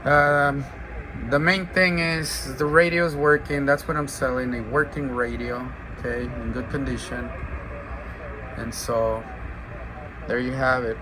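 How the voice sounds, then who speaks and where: Man thinking aloud over clear background noise. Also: medium pitch, little pitch movement, measured pace. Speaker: radio seller demonstrating gear. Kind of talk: casual hands-on product demo.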